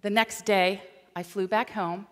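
A woman's voice: mid-range voice, measured pace. Woman telling a story in a reverberant hall. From Michigan, USA. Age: middle-aged. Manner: animated, gesturing while walking the stage. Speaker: chapel speaker. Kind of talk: storytelling chapel talk. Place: large dark auditorium stage.

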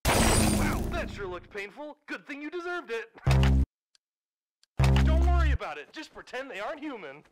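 A callous, sarcastic war criminal acted by a man mocks the fallen in a game voice pack. His pitch is high and swings wildly with cruel glee. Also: huge pitch swings, moderate pace, long pauses, loud music behind the voice. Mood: gleeful mockery. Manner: sarcastic, mocking. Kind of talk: video game announcer voice pack.

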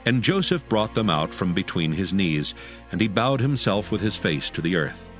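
A man with a fairly low voice narrates a scripture drama. His delivery is measured and formal, over soft background music. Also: big pitch swings. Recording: music bed under the voice.

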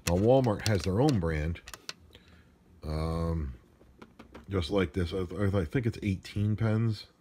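Grumbling man with a very low voice. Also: a little mellow. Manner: grumbling, sarcastic. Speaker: unboxing vlogger. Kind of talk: casual off-the-cuff unboxing commentary. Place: indoors at a wooden desk. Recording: noisy handheld recording with handling clicks.

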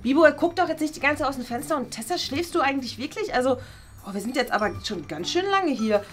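Woman as keen student journalist, high voice, stumbling enthusiasm, children's skit. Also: lively intonation, fast, faint music behind. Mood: relieved, puzzled. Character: eager, ambitious schoolgirl aspiring to be a journalist. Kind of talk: children's comedy skit acting. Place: small classroom.

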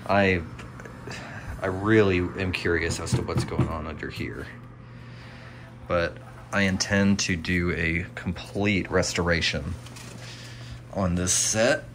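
An American man narrating his hobby restoration work casually and unscripted, musing out loud as he goes. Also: sits low. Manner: musing, thinking aloud. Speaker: hobbyist radio restorer presenting a video. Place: small indoor home workshop. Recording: faint room noise.

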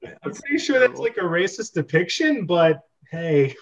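A man's voice: high-pitched, very animated, moderate pace, a little hiss or hum. A man casually hosting and moderating a group script reading over a video call. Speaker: host of a script reading club. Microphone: video call on computer. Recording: dull lo-fi video call audio.

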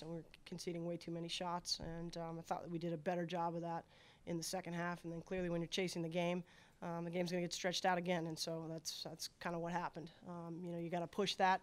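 A middle-aged woman coach answering press questions after a game at a table mic, steady and measured, with many filler words. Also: lowish voice. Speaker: head soccer coach. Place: press conference room at a table. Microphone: table microphone.

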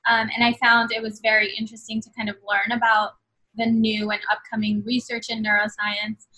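A woman's voice: on the high side, flat delivery, a little fast, pushed and bright, low-quality recording. A woman in her twenties, born in Bangladesh and raised in New York City, talking earnestly as a guest over a video call, with many fillers. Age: 20s. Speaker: guest, medical student. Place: small room at home. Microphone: video call webcam.

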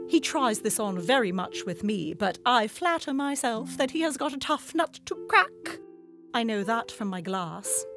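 Actress as a gushing, girlish young lady, very animated. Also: on the high side. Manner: girlish, giggly, theatrical. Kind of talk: dramatised letter reading in a radio play. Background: instrumental music.

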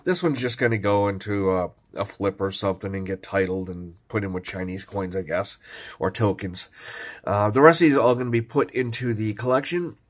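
A man's voice: on the low side, fairly quick. Brief: man, stuffed-up from a cold, laid-back home vlogger talking through his coins, lots of ums. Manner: relaxed, rambling, frequent fillers. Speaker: coin collecting vlogger. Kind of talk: casual show-and-tell narration.

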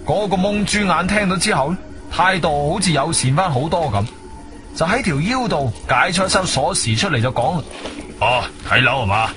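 A man's voice: fairly high pitch, lively intonation, brisk, noisy background. A man with a Guangzhou Cantonese accent narrating a radio drama as a conversational storyteller, dropping in interjections. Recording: music bed behind studio voice.

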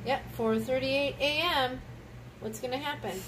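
High-voiced woman in casual read-aloud, distant mic. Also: unhurried. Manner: exclaiming and prompting with questions. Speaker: adult reading a picture book with a child. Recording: distant mic with clear background noise.

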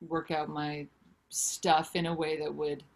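A woman's voice: low voice, unhurried. A woman in her thirties, a singer-songwriter and author, talking candidly and animatedly over a video call from home. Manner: candid, animated, gesturing with hands. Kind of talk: casual interview chat. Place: home room with brick wall.

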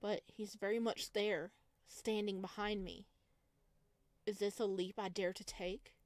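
A woman streaming a visual novel, reading aloud and reacting in a playful, dramatic, expressive way. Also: medium pitch, measured pace, dry recording. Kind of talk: let's play, reading game narration aloud with reactions. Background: game music.

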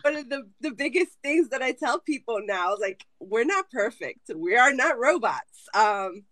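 A woman whose first language is Spanish, telling her story as an author on a casual video call with lively candor. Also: fairly high pitch, normal speed, no echo. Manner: animated, candid storytelling. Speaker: author guest. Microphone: video call webcam.

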